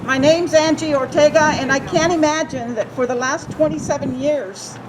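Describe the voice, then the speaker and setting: A woman's voice: sits high, normal speed, a crowd in the background. A woman giving an impassioned, emphatic speech at an outdoor rally podium. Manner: emphatic, repeating phrases for force. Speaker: rally speaker. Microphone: podium microphone. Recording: distant mic with loud wind noise outdoors.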